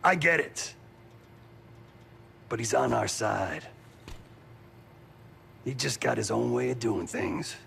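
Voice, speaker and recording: An actor playing a gruff, embittered but loyal veteran operative in a video game, blunt and salty, with a gravelly voice. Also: male voice, medium pitch, lively intonation, takes it slowly, long pauses, fairly bright tone, slight background noise, heavily acted. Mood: insistent. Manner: blunt, salty, tough-talking. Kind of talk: video game cutscene dialogue.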